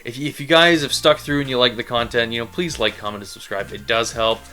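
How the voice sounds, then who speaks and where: A man's voice: mid-range voice, steady tone, a little fast, pushed and bright. A fairly young man hosting a casual vlog, talking in a rambling, blunt and joking way as he gives his opinions. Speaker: book review video host.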